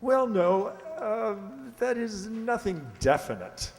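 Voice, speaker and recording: A man's voice: high-pitched, big pitch swings, slow pace, exaggerated voice. An actor playing a flustered, hesitant middle-aged English lord and father in a radio play. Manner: hesitant, fussy, stammering. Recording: echoey hall, long reverb.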